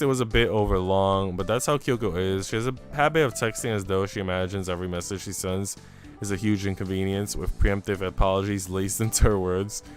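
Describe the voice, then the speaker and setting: Man, lowish voice, streamer, casual visual novel reading, light music behind. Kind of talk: visual novel read-aloud with casual asides.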